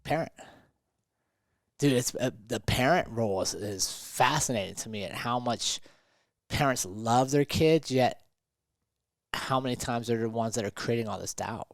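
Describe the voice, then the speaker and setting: A man's voice: mid-range voice, moderate pace, pauses often, no room sound, studio quality. A man talking conversationally as a motivational podcast host and coach, emphatic and fond of rhetorical questions.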